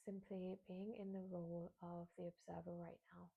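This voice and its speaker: A woman guiding a beginners' meditation in a soft, breathy voice, with soothing phrasing and frequent pauses. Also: fairly low pitch, little pitch movement, phone-quality sound. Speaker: meditation guide, yoga teacher.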